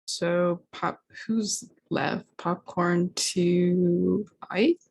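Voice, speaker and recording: A woman's voice: lowish voice, steady tone, drawn-out words, vocal fry, darkish tone, breathy edge. A young woman speaking casually as a student panelist on a video call. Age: young adult. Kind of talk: casual self-introduction on a panel.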